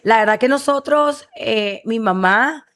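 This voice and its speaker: A woman's voice: on the high side, a little slow. A middle-aged woman from Honduras, a guest in an interview who tells her stories with enthusiasm. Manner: animated, enthusiastic storytelling. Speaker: guest, restaurant founder. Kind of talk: interview conversation.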